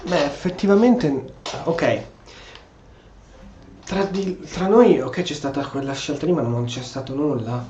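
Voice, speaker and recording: A man with an Italian accent giving casual, expressive role-play commentary as a gameplay streamer. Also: on the high side, normal speed, slight background noise. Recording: echoey room, dull muffled sound.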